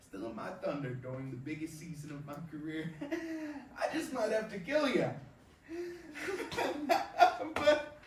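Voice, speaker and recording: A man acts out a swaggering, crude baseball star in a stage play, with a high-pitched male voice. He talks in brash slang and works on people like a manipulative mentor. The sound is roomy and picked up from far off, with some noise. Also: heavily acted. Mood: brash, mocking. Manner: brash, swaggering, slangy tough-guy talk. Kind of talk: staged reading of a play, theatrical dialogue. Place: indoor performance space, recorded from afar. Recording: roomy distant stage recording, background noise.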